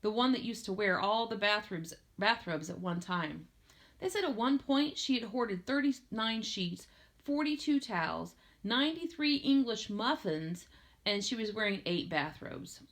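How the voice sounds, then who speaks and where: Woman reading a story out loud, audiobook style, expressive, normal mid pitch voice. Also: unhurried. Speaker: audiobook reader. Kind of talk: expressive read-aloud narration of a first-person novel.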